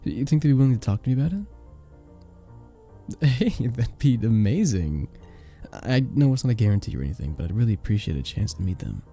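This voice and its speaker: Guy playing a sweet flirty vampire bartender, asmr roleplay, super mellow dark voice w some gravelly fry, soft-spoken n a bit hesitant. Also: medium pitch, sing-song, brisk. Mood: earnest, hopeful. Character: charming, sweet, earnest male vampire bartender. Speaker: audio roleplay performer. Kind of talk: ASMR romantic roleplay acting. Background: soft background music.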